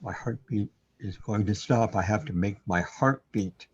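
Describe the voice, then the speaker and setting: Troubled, self-doubting man played by a male actor, brooding and hesitant, husky delivery, muffled recording, surreal radio drama. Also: on the low side, moderate pace. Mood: panicked. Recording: dull, lo-fi audio.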